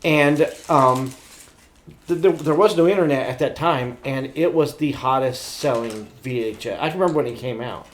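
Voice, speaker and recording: A middle-aged man co-hosting a podcast, telling stories about pop culture in a blunt, animated, slightly crude casual way. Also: mid-range voice, moderate pace. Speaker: podcast co-host.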